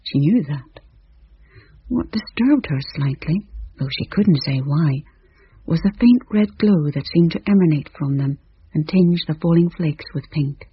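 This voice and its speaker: A husky male narrator with a slightly raised pitch, reading a storybook ghost tale in a measured way. The sound is dry and dull, close to the mic. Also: animated, dry recording.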